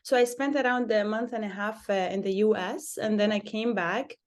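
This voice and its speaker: A young social worker from Lebanon talks through her answers in a relaxed interview. She is earnest and steady, and she often stops on a hesitant "uh" before going on. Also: medium pitch, normal speed. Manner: earnest, many filler "uh"s.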